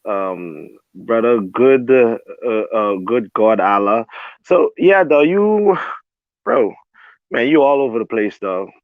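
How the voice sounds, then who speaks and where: A man's voice: medium pitch, dry recording. Emphatic man on a tinny line. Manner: emphatic, repeats words, says bro often. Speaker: panel speaker. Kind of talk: heated panel debate.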